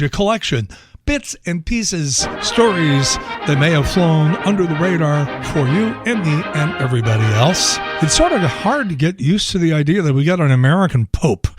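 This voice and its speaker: Hoarse middle-aged host. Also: male voice, on the high side. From south side of Chicago. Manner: rambling, wry, chuckling asides. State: hoarse from laryngitis. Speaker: radio show host. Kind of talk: casual talk monologue.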